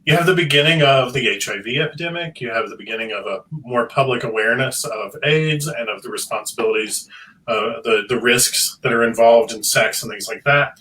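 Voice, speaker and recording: A man's voice: mid-range voice, sharp tone, recorded from a distance, slight background noise, rough recording. A man speaking as a panelist in an articulate, academic way, analysing as he chats.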